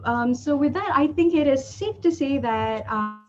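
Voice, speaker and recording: A woman's voice: sits high, animated, normal speed, darkish tone. A young woman student speaking earnestly on an online panel, over a video call, with many fillers. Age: young adult. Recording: dull video call audio.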